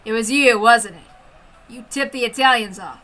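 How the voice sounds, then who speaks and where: A woman's voice: fairly high pitch, measured pace, pushed and bright. A woman voicing a brutal, greedy military officer in a menacing, accusatory manner for a story reading. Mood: accusing, menacing.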